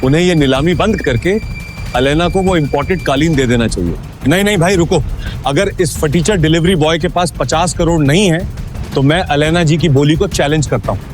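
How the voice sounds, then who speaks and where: Casting: male actor as snobbish wealthy bully, high agitated voice, taunting delivery, audio drama with music underneath. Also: a little fast, natural voice. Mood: mocking. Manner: contemptuous, loud, taunting. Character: arrogant, sneering rich men, young adult to middle-aged. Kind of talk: Hindi audio drama acting.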